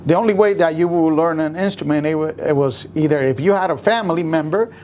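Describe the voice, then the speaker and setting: A man from Rico, a musician telling his story in an interview with many pauses and fillers. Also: a little high, a little mellow, a little hiss or hum. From Puerto Rico. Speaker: guest musician.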